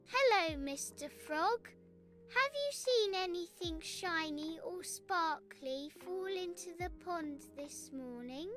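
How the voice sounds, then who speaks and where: A woman voicing a kind, brave little princess in a children's bedtime story, speaking gently and reassuringly. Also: very high-pitched, animated, takes it slowly, soft background music, pitched above the performer's own voice, exaggerated voice. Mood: warm, encouraging.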